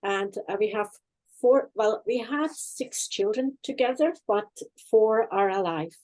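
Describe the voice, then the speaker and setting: Older Swiss-accented woman, halting delivery. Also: mid-range voice, a little mellow, rough recording. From Switzerland. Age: 60s or older. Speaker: guest, healing practitioner and former nurse. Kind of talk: personal life story in an online interview. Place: online video chat.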